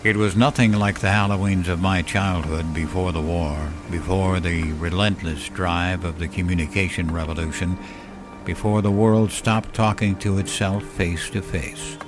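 A man's voice: sits low, steady tone, normal speed. A man reading a horror story as scripted narration. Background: music bed.

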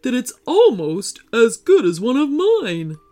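A woman doing a slow, glum sea monster. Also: on the high side, wildly swooping pitch, mellow, voice pushed up. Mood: pleased. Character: gloomy, gentle male sea monster.

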